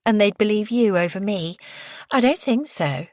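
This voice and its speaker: A woman's voice: mid-range voice, expressive, moderate pace, dry recording, clean studio sound, voice pushed up, close to an everyday voice. A woman voicing a brash young female schemer in an audiobook, gushing, taunting and smug. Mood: smug, scheming.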